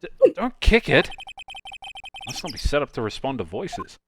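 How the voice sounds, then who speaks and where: A man in his twenties playing a dry, deadpan, easily exasperated young defense lawyer in a live game fan dub. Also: medium pitch, normal speed. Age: 20s. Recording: noisy live stream microphone.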